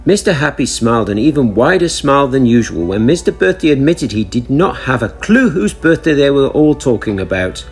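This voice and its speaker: A man reads a children's story with expressive, deliberate delivery. His mid-pitched voice moves up and down a lot and has a husky grain. Faint music plays behind him.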